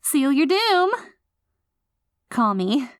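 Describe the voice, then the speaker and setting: A woman's voice: high-pitched, sing-song, extremely slow, background noise, full character voice. A woman playing an obsessive, possessive girlfriend in a scripted audio roleplay, theatrical and sugary with an edge of threat. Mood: cold ultimatum. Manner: saccharine pet names laced with threats, theatrical. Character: obsessive possessive yandere girlfriend, sweetly menacing, long reformed, unraveling. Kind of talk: scripted ASMR roleplay audio drama, voicemail monologue.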